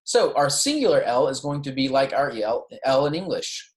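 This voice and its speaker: A language teacher with a mid-pitched, very animated delivery lectures beginners on pronunciation. The instruction is step by step, with frequent check-ins, in a roomy, echoing recording. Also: moderate pace. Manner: instructional, checking in often with okay and right. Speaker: Spanish teacher. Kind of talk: introductory language lecture on pronunciation, with repeat-after-me examples. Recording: roomy, echoey sound.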